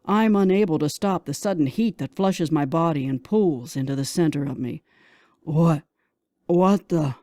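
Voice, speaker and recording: A woman narrates a novel in the first person with a slightly low voice. Her reading is scripted and expressive, leaning into the drama of the story. The sound is dry, with very little room around it. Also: moderate pace, few pauses, dry recording. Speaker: audiobook narrator.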